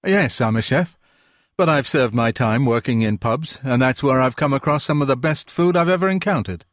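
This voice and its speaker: A man's voice: medium pitch, normal speed, no room sound, studio quality, voice pushed up, natural voice. A man voicing a nervous, polite chef who talks in a conciliatory way, in an audiobook.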